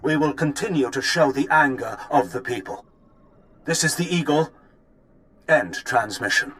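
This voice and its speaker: A man's voice: medium pitch, moderate pace, fairly bright tone, close to an everyday voice. Man acting a fervent, defiant rebel leader. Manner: oratorical, defiant. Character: fervent male rebel terrorist leader making a broadcast. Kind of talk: propaganda speech, video game acting.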